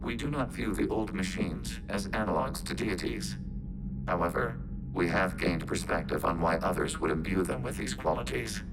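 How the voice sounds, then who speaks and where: Deep, synthetic machine intelligence. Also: male voice, gravelly, heavy background noise. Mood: reflective, literal. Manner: measured, clipped, formal phrasing, calling itself we. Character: calm, logical robotic alien machine intelligence, formal and literal. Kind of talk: video game voice acting. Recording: game music behind the voice.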